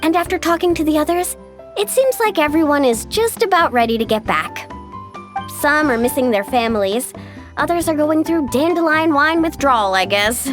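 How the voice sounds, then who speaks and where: A woman voicing an upbeat, caring young tour guide in a video game, chatty and animated. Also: high voice, normal speed. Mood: chatty, reflective. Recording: background music.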